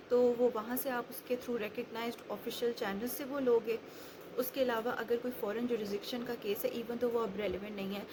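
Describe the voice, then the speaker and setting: A woman giving an explanatory law lecture, taking it step by step and switching in English terms. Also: mid-range voice. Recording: clearly noisy background.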